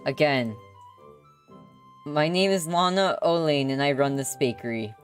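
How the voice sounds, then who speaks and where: A woman's voice: low voice, lively intonation, measured pace, soft background music. A woman reading a visual novel aloud as a young witch who runs a bakery, patient and mildly exasperated. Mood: puzzled, chiding.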